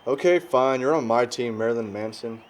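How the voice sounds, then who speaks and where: A man's voice: mid-range voice, moderate pace, close to an everyday voice. A man acting a cocky, hot-tempered young party bully in an amateur audio drama, with a blunt, mocking manner. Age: young adult.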